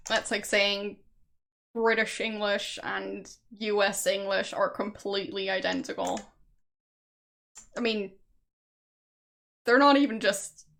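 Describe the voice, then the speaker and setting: A woman's voice: medium pitch, vocal fry, a bit bright, slight background noise. A young woman from Austria with an Austrian German accent, chatting casually with her stream viewers and laughing often. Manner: chatty, laughs often, answers chat guesses.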